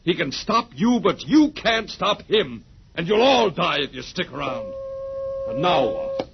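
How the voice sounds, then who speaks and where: A man's voice: high voice, wildly swooping pitch, unhurried, vocal fry, exaggerated voice. An actor playing a weary, brave, wry survivor, the last man on Earth, in an understated old radio drama style. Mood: defiant, insistent. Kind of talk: 1950s radio drama acting. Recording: dull, hissy old radio recording.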